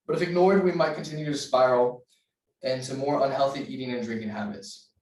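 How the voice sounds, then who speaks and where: A man's voice: mid-range voice, brisk, a little mellow, slight background noise. Earnest young man, distant roomy mic. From United States. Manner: earnest, cites figures, occasional restarts. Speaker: student giving a class speech. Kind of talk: persuasive classroom speech.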